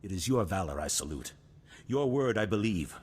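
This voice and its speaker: A deep, husky noble duke. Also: male voice, sing-song, moderate pace. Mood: solemn, stirring. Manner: formal, stately, grave. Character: noble, resolute older duke, solemn war leader. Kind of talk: cinematic video game voice acting. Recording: long hall reverb, music behind.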